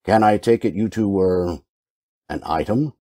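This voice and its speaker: A man acting a shrewd, world-weary detective in an old-time radio drama, deadpan and wry in delivery. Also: sits low, unhurried, no room sound. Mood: wry, probing.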